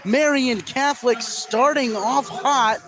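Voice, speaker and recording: A man's voice: extremely high pitch, slow pace, fairly bright tone. A man calling a basketball game live as a play-by-play radio commentator. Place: indoor school gym. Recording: crowd noise behind the voice. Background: gym crowd.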